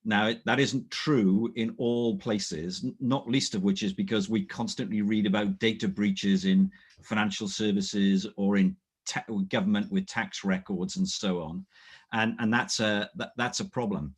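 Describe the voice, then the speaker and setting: A man moderating an online panel in a measured, polite way, steering the discussion along. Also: lowish voice, steady tone, slightly rough.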